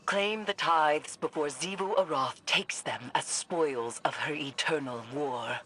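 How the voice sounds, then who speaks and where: A woman playing a vengeful, resolute dark sorceress in a video game, speaking deliberately and ominously in formal phrases. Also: low-pitched, slightly rough, full character voice. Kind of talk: dramatic video game acting.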